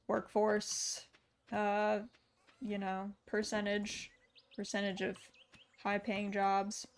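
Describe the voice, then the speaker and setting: Woman, gaming streamer, casual and rambling, light gravel in her voice. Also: mid-range voice. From United States. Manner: chatty, answers viewer chat aloud with many fillers. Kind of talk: casual chat while gaming.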